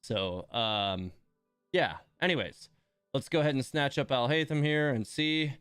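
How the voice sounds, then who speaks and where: A man gives relaxed, informal commentary as he streams a game. His voice is clear and smooth, with a wry, lightly grumbling way of talking. The sound is dry and close, with soft music underneath. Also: medium pitch, unhurried, no echo. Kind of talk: casual livestream commentary while playing.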